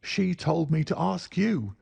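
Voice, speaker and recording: A man's voice: fairly high pitch, animated, slow, gravelly, a little mellow, slightly rough, no echo, pitched above the performer's own voice, exaggerated voice. A man voicing a nervous, frightened little man who whines and speaks in a quavering voice, in a storybook reading. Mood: nervous whining. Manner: whining, quavering.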